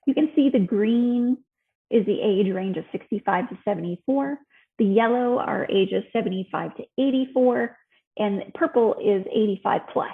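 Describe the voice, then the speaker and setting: A woman's voice: medium pitch, normal speed, phone-quality sound. Thin-sounding American woman giving an informal lecture. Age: 50s. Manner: conversational, explanatory. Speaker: health educator presenting a class.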